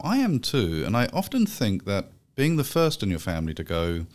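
A middle-aged man hosting an interview podcast in a small office room, speaking in a measured, formal way into a studio mic. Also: low-pitched. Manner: measured, formal delivery with fillers in chat. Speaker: podcast host. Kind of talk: scripted intro then interview. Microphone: studio mic with pop filter.

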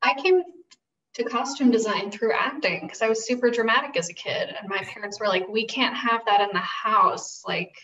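A woman's voice: a little high, normal speed, bright, forceful tone. A young adult woman chatting casually as a panel guest on a video call. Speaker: guest, costume designer. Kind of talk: casual personal anecdote on a panel. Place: bare echoey room, video call. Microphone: distant computer mic. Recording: dull, echoey, distant video-call audio.

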